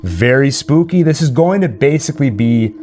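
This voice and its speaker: A man with a middle-pitched voice co-hosts a livestream. He talks casually and conversationally, commenting on the game he is playing and addressing viewers. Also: expressive, normal speed, dark tone. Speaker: livestream co-host.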